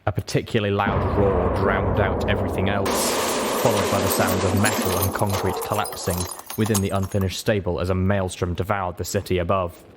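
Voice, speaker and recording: A man's voice: deep voice, flat delivery, brisk, loud music behind the voice. A man reading scripted first-person story narration as an audiobook-style narrator. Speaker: narrator of a fan fiction reading. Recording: faint background noise.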